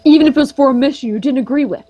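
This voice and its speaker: A steadfast comrade from a story game, read aloud by a woman, offering reassurance to a friend. The voice sits high, and the reading is straightforward. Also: rapid-fire, mellow, close to an everyday voice. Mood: reassuring. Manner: reads game dialogue aloud.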